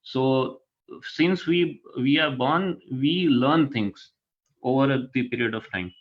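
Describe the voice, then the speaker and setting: Gujarati Indian man lecturing, dull audio. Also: mid-range voice. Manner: halting, often checks with "right?" and "fine". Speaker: guest speaker, corporate HR manager. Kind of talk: informal lecture to students. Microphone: online video call. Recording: dull lo-fi online call audio.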